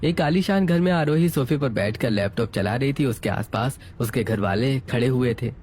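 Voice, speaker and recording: A man's voice: mid-range voice, moderate pace, darkish tone, a little hiss or hum. A man narrating a scripted family story.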